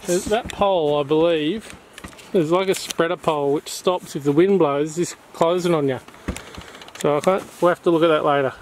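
A man's voice: a little high, normal speed. A middle-aged man with an Australian accent, filming a camping trip and joking with his kids in a casual vlog. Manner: laid-back, joking banter with his kids. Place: in a car, then outdoors at a bush campsite. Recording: noisy outdoor camera audio.

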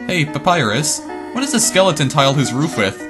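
A man voicing a laid-back, wisecracking skeleton with a lower, relaxed drawl. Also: brisk, over music. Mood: teasing. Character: lazy, joking short skeleton man.

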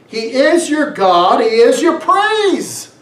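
A man's voice: very high voice, very animated, a bit bright. A middle-aged man, a pastor, preaching emphatically, reading scripture and pressing his points with rhetorical questions. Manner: emphatic, exhorting, drawn-out phrases with rhetorical questions. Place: small church sanctuary, on stage. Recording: echoey room, distant from mic.

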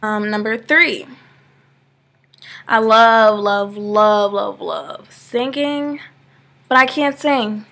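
A woman's voice: mid-range voice, drawn-out words, gravelly, long pauses, bright, forceful tone. An eighteen-year-old woman from Georgia, rambling casually in a webcam vlog from her sofa, full of "like" fillers. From Georgia, USA. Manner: chatty and rambling, many "like" fillers. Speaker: vlogger. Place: living room, seated on a sofa indoors. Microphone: laptop webcam, close framing.

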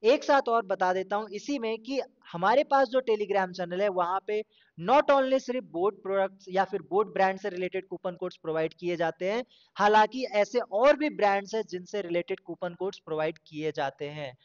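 A man's voice: high voice, moderate pace, clean tone. Male channel host, casual step-by-step tutorial voice-over, slightly muffled recording. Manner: casual and instructive, mixing English words into Hindi. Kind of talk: screen-recorded shopping tutorial.

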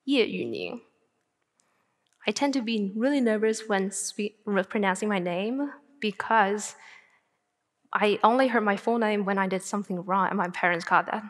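A young woman in her twenties from northern China, a native Chinese speaker with a Mandarin Chinese accent, giving an earnest prepared talk on a stage and slowing down to stress her phrases. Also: medium pitch, expressive. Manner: earnest, deliberate with slow emphatic phrases. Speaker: student speaker. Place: large auditorium stage. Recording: long hall reverb.

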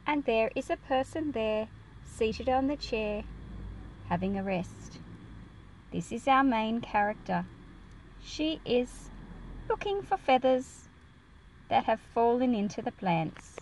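A woman's voice: on the high side, lively intonation, slow, pauses often, darkish tone, slight background noise, low-quality recording. A woman reading a picture book aloud and explaining it to children in a careful, teacherly way. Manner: careful, explanatory, enunciated for young listeners.

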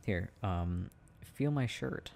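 A young man doing an intimate, casual boyfriend roleplay, playful and affectionate, talking in a halting, giggly way. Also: sits low, expressive, gravelly, darkish tone, slight background noise. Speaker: boyfriend roleplay audio performer.

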